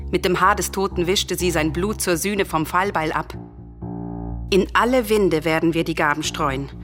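Grave, low-voiced vengeful princess played by an actress. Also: female voice, a bit bright, faint music behind, lightly acted. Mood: solemn, pleading. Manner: grave, deliberate, formal declamation. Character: bitter, unyielding young princess seeking vengeance. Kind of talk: classical tragedy radio drama.

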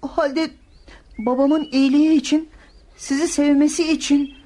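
A meek young boy, acted by a woman in a radio play. The voice is high and childlike, polite and earnest, with a plaintive edge. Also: fairly even intonation, moderate pace, warm tone, lightly acted. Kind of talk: radio theatre acting.

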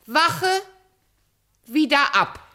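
Wicked fairy-tale queen: vain, commanding, measured pace, widely swinging intonation, radio-play delivery. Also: sits high, animated, noisy background, heavily acted. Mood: angry, imperious. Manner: haughty, theatrical, commanding. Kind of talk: German children's radio play acting.

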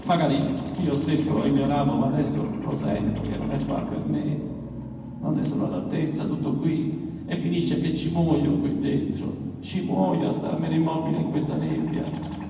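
A man's voice: fairly high pitch, fairly even intonation, moderate pace, pitched above the performer's own voice, natural voice. A man playing a desperate emigrant trapped in a freezing tank, pleading in an agitated dramatic monologue. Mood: desperate, panicked. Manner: agitated, rising to desperate shouting. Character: panicking male emigrant trapped immobile in cryogenic tank, pleading. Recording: very echoey hall, distant mic, noisy.

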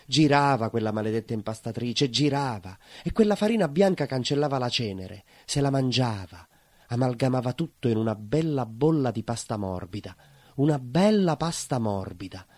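An actor playing a bitter, vengeful baker in an intimate theatrical monologue, brooding and dramatic. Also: male voice, medium pitch, animated, darkish tone, dry recording, natural voice. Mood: wry, bitter. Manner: brooding, dramatic pauses and repetitions. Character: bitter southern Italian baker confessing to vengeful murder.

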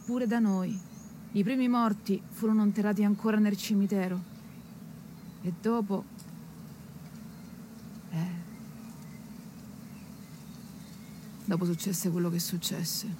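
Actress as earthy storyteller, noisy background. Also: female voice, medium pitch, fairly even intonation, unhurried, pauses often, lightly acted. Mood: sombre. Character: earthy woman of a poor quarter recounting an epidemic. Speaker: actor-narrator in a serial stage play. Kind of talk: dramatic storytelling in colloquial dialect.

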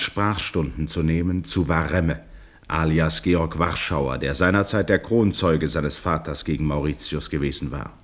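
Deep-voiced man narrating formally. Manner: measured, formal storytelling. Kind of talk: scripted narration for a 1960 radio play.

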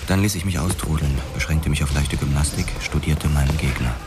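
Noir radio play lead: laconic boxer-cop narrator, extremely low voice, measured delivery over music. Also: male voice. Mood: matter-of-fact. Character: laconic male boxer-policeman narrating his own story. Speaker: first-person narrator.

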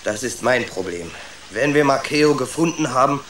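Young rat hero and storyteller, played by a man: curious, stubborn, medium-pitched, children's radio drama with ambient effects behind. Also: lively intonation, moderate pace, a bit bright, a little hiss or hum, lightly acted. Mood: challenging. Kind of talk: first-person storytelling in children's radio play.

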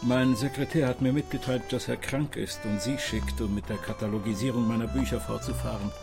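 A male actor as a kindly old father in a radio play. He is courteous and measured, with a medium-pitched voice. Music plays clearly behind him. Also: steady tone, close to an everyday voice. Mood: courteous, businesslike. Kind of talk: radio drama acting.

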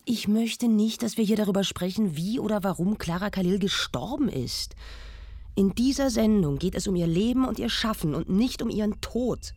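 An actress playing a prim, insistent radio host who conducts a formal, probing interview in a radio play. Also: female voice, mid-range voice, animated, moderate pace, a little mellow, no room sound, studio quality. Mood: indignant, insistent.

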